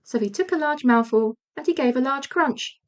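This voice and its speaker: A woman's voice: high voice, normal speed, highs cut off. A woman narrating a children's audiobook as a scripted storyteller.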